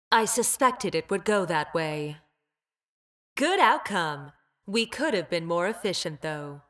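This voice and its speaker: A coldly logical android scientist from a video game. Her female voice sits on the low side, and she speaks in formal, exact phrases. She is detached and clinical, a former healer with a ruthless streak. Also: a bit bright. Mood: calm, calculating. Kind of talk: video game character voice lines.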